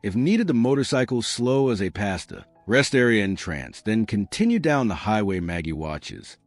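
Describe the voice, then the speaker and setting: Male audiobook-style narrator, lowish voice, dramatic scripted read, expressive intonation, dry sound. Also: normal speed, no echo, soft background music.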